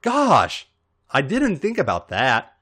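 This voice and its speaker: A man's voice: fairly high pitch, huge pitch swings, slow pace, bright, forceful tone, pitched above the performer's own voice, exaggerated voice. A man voicing a naive, earnest, bashful young woman in a story reading, with a hesitant, innocent manner.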